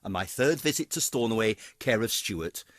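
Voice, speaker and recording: A man's voice: on the low side, animated, fairly quick, a bit bright, no room sound, clean studio sound. An older British man giving a scripted retrospective narration in a wry, theatrical, wordy manner. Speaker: pantomime performer narrating career retrospective.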